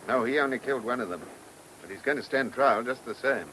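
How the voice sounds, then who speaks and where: A faintly noisy old radio play with a man playing a dogged British chief inspector. He is terse and businesslike, speaking at a medium pitch. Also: fast, fairly bright tone, close to an everyday voice. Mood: determined. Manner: curt, businesslike.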